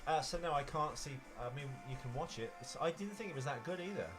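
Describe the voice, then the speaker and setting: A man's voice: fairly high pitch, lively intonation, fast, music in the background. A man co-hosting a casual film chat, giving opinionated explanations. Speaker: podcast co-host.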